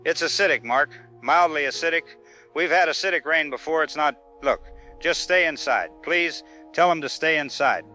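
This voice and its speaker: A man playing an evasive small-town sheriff in an audio drama, halting and repeating himself officiously. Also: a little high, pushed and bright, faint music behind. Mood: flustered, insistent. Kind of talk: phone interview in audio drama newscast.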